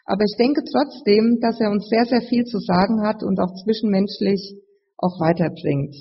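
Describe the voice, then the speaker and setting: A preacher with a slight cold and a husky, gravelly voice, giving a sermon in a measured, explanatory way to a congregation. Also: medium pitch, a little hiss or hum. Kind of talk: sermon with scripture reading. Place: large reverberant room, live address. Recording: muffled, long hall reverb.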